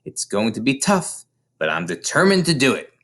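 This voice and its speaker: A man voicing a determined, excitable young schoolgirl in a storybook, speaking with earnest emphasis. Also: mid-range voice, moderate pace.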